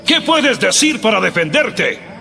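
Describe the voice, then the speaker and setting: High-pitched, echoing, indignant high priest. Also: male voice, big pitch swings, a little slow, creaky, sharp tone, full character voice. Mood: stern, demanding. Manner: declamatory, accusing. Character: indignant, imperious male high priest interrogating a prisoner. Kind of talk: dramatized audio Bible acting. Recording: long reverb, music and crowd behind.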